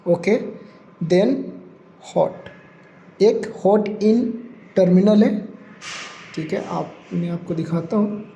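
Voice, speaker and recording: High-pitched man giving a hands-on tech tutorial. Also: takes it slowly, vocal fry, long pauses, mellow, dull audio, slight background noise. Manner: step-by-step, repeats points, mixes English terms into speech. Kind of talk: practical hands-on demonstration.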